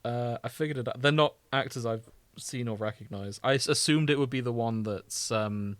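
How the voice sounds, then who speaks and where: A man's voice: mid-range voice, normal speed, a bit bright, no room sound. Man chatting casually on a podcast, dry sound. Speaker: podcast co-host.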